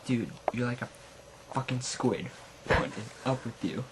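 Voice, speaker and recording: A tired amateur improvising puppet show dialogue, halting and prone to swearing, recorded at home with faint noise and clicks. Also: medium pitch, expressive. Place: friend's house late at night. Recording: noisy homemade recording with clicks.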